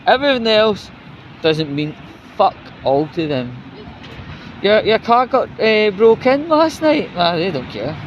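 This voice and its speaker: British man, fairly high voice, casual rambling vlog, sweary and indignant, muffled sound, clear outdoor traffic noise. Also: big pitch swings, a little slow. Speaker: vlogger. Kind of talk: casual rambling rant to viewers. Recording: dull lo-fi recording with loud outdoor traffic noise.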